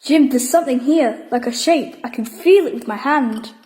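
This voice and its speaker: A woman's voice: sits high, normal speed, dark tone, big-room sound, natural voice. A woman playing a sceptical, curious wartime child who is blunt and challenging, in an audio drama. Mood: curious, uneasy.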